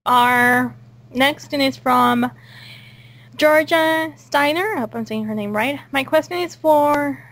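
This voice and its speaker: A woman's voice: high-pitched, slow pace, fairly bright tone. A woman hosting a casual group interview with fellow voice actors, reading out questions and chatting. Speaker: interview host and voice cast member.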